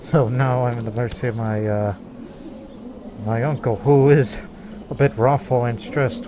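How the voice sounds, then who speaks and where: Brief: male let's player, mid pitch, chatty commentary, clear noise behind. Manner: musing, laughing asides, talks as his character. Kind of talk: casual gameplay commentary.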